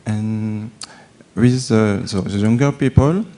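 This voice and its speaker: French guy, 30s, low-ish voice, halting, lots of ums. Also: warm tone. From France. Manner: hesitant, halting, many fillers and restarts. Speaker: audience questioner. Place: temple hall.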